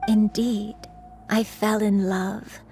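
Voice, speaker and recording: A woman playing a gentle, remorseful old grandmother in a video game, telling her story in solemn, formal, measured words. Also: mid-range voice, flat delivery, faint music behind. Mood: fond, wistful. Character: gentle, remorseful old grandmother, secretly a former wild crane. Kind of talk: video game dramatic monologue.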